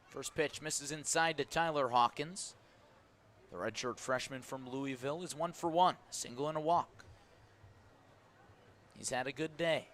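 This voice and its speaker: A man calling a baseball game play by play for radio, steady and brisk as he tracks each pitch. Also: slight background noise. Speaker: play-by-play announcer.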